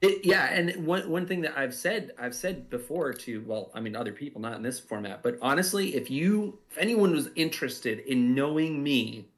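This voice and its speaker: A man's voice: medium pitch, expressive, normal speed, hardly any pauses. A man who writes and makes audio drama, speaking unscripted and reflectively, often circling back to rephrase as he goes. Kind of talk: unscripted commentary discussion.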